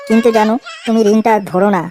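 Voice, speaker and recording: A woman's voice: fairly high pitch, fairly even intonation, quick pace, warm tone, slight background noise, natural voice. A woman voicing a young boyfriend in a Bengali comedy cartoon, placating yet cheeky, a sly wisecracker.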